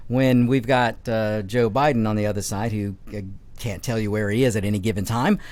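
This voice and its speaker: A man's voice: lowish voice, steady tone, fairly quick, a little mellow. A middle-aged man hosting a talk radio show from a studio, giving an emphatic opinion monologue that is full of restarts and repeated words.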